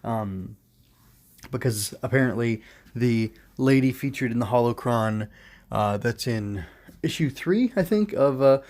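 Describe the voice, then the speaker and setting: A man's voice: mid-range voice, animated, measured pace, darkish tone, no room sound. A man giving a casual solo review as a podcast host, rambling, thinking aloud and correcting himself as he goes.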